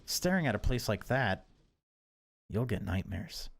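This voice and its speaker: A man voicing a stern patrol cop in a horror game, with a measured, drawling delivery. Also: lowish voice, big pitch swings, creaky, full character voice. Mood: stern warning. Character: uniformed male patrol cop, stern, warning.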